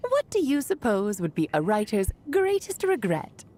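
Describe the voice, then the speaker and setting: A woman's voice: medium pitch, wildly swooping pitch, moderate pace, a little mellow. A woman voicing an elegant, theatrical mage who speaks grandly and with playful self-assurance. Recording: game audio with faint music.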